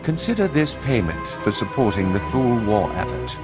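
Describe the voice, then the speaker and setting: A man's voice: mid-range voice, sing-song, moderate pace. A man playing an imperious, scheming noble baron in a sci-fi game, speaking formally. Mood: measured, scheming. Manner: formal, aristocratic phrasing. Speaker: video game voice actor. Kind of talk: dramatic game cutscene dialogue. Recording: long hall reverb, faint music behind.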